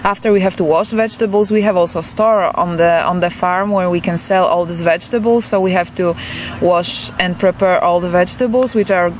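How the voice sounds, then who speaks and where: A young woman from Poland with a Polish accent, a farm intern giving brisk, matter-of-fact interview answers outdoors. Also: on the low side, fairly even intonation, slight background noise.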